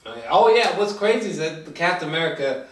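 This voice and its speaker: A man's voice: fairly high pitch, expressive, quick pace, a bit bright, recorded from a distance, a little hiss or hum. A man in casual, unscripted chat as a film review co-host, searching for words and often trailing off. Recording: echoey room, away from mic.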